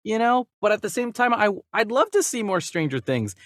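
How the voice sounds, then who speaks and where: Male podcast co-host, lively swinging intonation, casual and conversational, close dry sound. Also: high-pitched, fairly quick, dry recording. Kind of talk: casual movie news discussion, reading news aloud.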